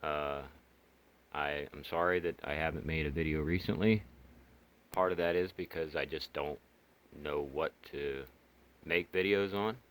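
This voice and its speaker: A man's voice: rumbling low, fairly even intonation, measured pace, pauses often, a bit bright. A man giving a casual, unscripted hobbyist product demonstration, talking in a hesitant, rambling way. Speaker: hobbyist video host reviewing radios. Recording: clear background noise.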